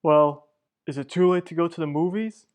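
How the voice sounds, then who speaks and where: A kindly, steady husband from a vintage-style radio drama, acted by a woman. The voice sits low, and the delivery is measured, affectionate and gently probing. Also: natural voice. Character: mild, steady, kindly husband devoted to work. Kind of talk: old-time radio soap opera acting.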